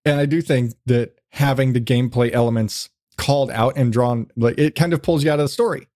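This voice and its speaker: A man's voice: mid-range voice, fairly quick, a little mellow, dry recording, clean studio sound. A man hosting a podcast in a casual, rambling, sweary chat style. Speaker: podcast host.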